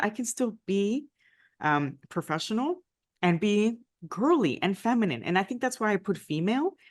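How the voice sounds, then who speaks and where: A Nicaraguan American woman, a former diplomat, telling her story in an interview with frequent "right?" tags. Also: fairly low pitch. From United States, family from Nicaragua. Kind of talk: conversational interview.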